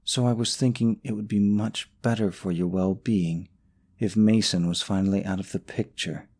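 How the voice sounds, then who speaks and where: A man's voice: fairly low pitch, steady tone, normal speed, a little mellow. A young man acting in an audio roleplay as a scheming, possessive boyfriend, falsely sweet and coaxing, snide about his rival. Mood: coaxing, menacing.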